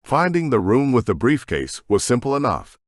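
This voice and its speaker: A man's voice: mid-range voice, big pitch swings, normal speed, dry recording, clean studio sound. A man reading scripted fiction as an audiobook narrator. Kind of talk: scripted sci-fi story narration.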